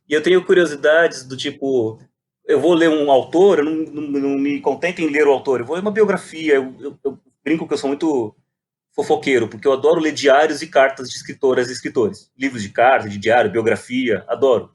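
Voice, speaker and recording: A man's voice: mid-range voice, brisk, slight background noise. A man, telling his story reflectively and animatedly in a conversational interview. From Brazil. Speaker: guest, playwright and poet.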